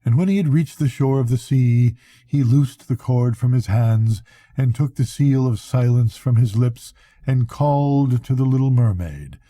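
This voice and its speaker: An older man reading a bedtime story as a grandfather, in a solemn, measured way. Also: medium pitch, mellow, no room sound. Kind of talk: scripted bedtime story narration.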